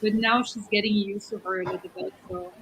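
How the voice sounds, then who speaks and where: A woman's voice: medium pitch, steady tone, a little fast. A woman chatting casually as a livestream co-host, explaining things in an informal, slightly hesitant way. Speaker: live stream co-host. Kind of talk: casual chat. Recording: clearly audible noise, clicks.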